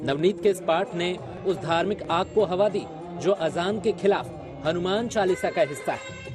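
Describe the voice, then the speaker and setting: A man's voice: sits high, normal speed. A man reading a scripted television news report as its narrator. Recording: music under the voice.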